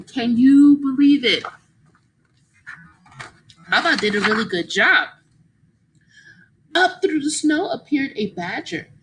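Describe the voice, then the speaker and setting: A woman reading a children's story aloud in an expressive, encouraging teacher's manner, pausing to explain and ask her young listeners questions. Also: a little high, a little hiss or hum, low-quality recording.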